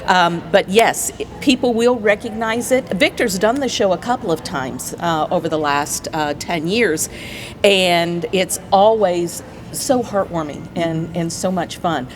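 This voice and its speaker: Lower-voiced American woman, faint background noise. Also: measured pace. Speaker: guest, community theater player. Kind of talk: casual interview talk.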